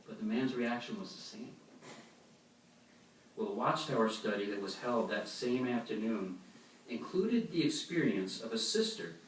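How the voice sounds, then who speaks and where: A man delivers an instructional religious talk from some distance off the microphone. His voice rings with heavy room echo over faint noise. He explains things plainly, as a speaker addressing a congregation. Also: mid-range voice. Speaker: congregation speaker giving a demonstration. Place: echoey meeting hall before an audience. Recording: echoey hall, distant mic, faint noise.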